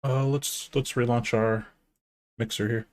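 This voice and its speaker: A man's voice: mid-range voice, steady tone, measured pace. Nasal-voiced male streamer. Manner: rambling, talks to himself and greets chat. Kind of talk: casual live-stream chat while setting up.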